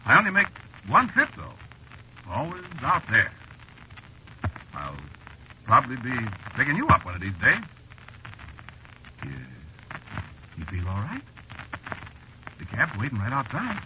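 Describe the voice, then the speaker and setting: Uneasy cab driver, plain ungrammatical talk, swooping intonation, vintage radio play, dull noisy recording. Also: male voice, mid-range voice, wildly swooping pitch, unhurried, pauses often. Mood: eerily resigned. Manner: colloquial, ungrammatical speech. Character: frightened, pleading working-class cab driver, later eerily resigned. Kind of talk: 1940s radio drama acting.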